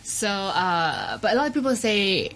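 A woman from Taiwan with a Taiwanese accent, a musician guest speaking hesitantly and softly in a casual radio interview. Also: medium pitch, very animated, a little slow. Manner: hesitant, soft-spoken, giggly. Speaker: singer-songwriter guest.

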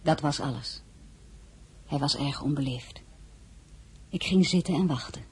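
A princess telling her story in a radio play, mellow and pretty breathy-husky, calm and measured. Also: low voice, full character voice. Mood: reflective. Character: princess recalling her meeting with the emperor, composed. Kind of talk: first-person radio drama narration.

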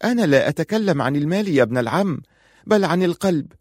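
A man's voice: fairly high pitch, expressive, fast, no room sound, clean studio sound, voice pushed up. A man voicing a passionate, pleading and defiant young wife in audiobook dialogue, insistent and emotional. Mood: defiant, wounded. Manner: insistent, emotional, bitter at times.

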